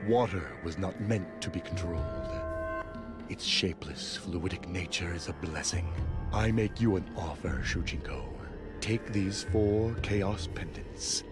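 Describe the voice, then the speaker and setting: Zealous game warrior cleric: deep, deliberate, lofty delivery over loud music. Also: male voice. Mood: solemn, persuasive. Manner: solemn, deliberate, formal and grandiose. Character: zealous armored male warrior cleric of chaos. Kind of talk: scripted video game dialogue. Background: video game music.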